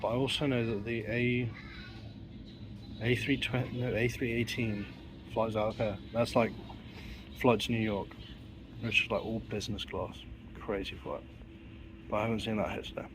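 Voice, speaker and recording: A young Englishman with a slightly croaky, lowish voice talks loosely into a phone he holds at arm's length. He rambles in a friendly, unscripted way. Loud travel noise from the terminal and train sits under everything. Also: fairly even intonation, normal speed, pauses often. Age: young adult. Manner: rambling, chatty, filming himself on the go. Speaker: travel vlogger. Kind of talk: casual travel vlog. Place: airport terminal, then a train carriage. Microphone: phone held at arm's length.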